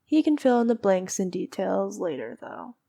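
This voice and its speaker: A woman playing a noble, haughty but needy vampire princess in an intimate ASMR roleplay, speaking softly with a regal, teasing manner. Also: medium pitch, very animated, normal speed, gravelly. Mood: composed, serious. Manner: soft, regal, teasing, sometimes dropping to a whisper.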